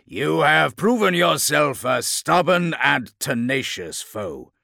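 A man's voice: fairly high pitch, sing-song, slow, gravelly, bright, forceful tone, dry recording, clean studio sound, voice pushed up, full character voice. A man voicing a hulking, gloating plague warlord in an audiobook, with a guttural, bubbling voice and a grand, theatrical delivery. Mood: grave, booming. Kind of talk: audiobook villain acting.

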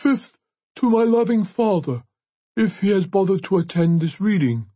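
A man voicing a solemn male priest in an audiobook, speaking in a gentle, measured and stately manner. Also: sits high, lively intonation, dry recording, dull audio, voice pushed up. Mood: solemn, remorseless. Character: solemn, remorseless male priest reading a will aloud. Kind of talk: audiobook character voice.

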